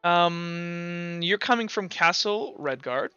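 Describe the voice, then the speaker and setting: A man's voice: sits high, bright, forceful tone, clean tone, no room sound, highs cut off, soft background music. A young man running a tabletop game as game master, chatty and stumbling, with lots of fillers. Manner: chatty, stumbling, quick asides with frequent fillers. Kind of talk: casual tabletop game chat.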